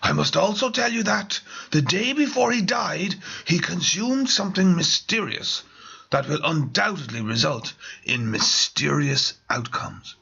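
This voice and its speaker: A man playing a solemn, confiding gentleman in a comic story, formal, urgent and portentous. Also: a little high, animated, unhurried, gravelly, breathy edge, highs cut off, pitched above the performer's own voice, exaggerated voice. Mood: conspiratorial. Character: confiding, solemn man spreading mysterious news.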